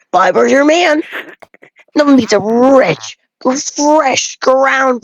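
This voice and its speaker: Woman playing an opinionated bread-obsessed man, high-pitched rambler. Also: dry recording, low-quality recording. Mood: enthusiastic. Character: opinionated, folksy bread-obsessed male enthusiast, rambling and grumbling. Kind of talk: dramatic read-aloud of game dialogue.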